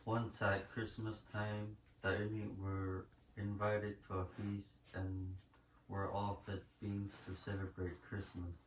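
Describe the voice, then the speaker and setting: A man's voice: lowish voice, monotone, takes it slowly. A man reading an elder's life story aloud as a narrator. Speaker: reader at a school story sharing. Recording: echoey room, distant mic, faint noise.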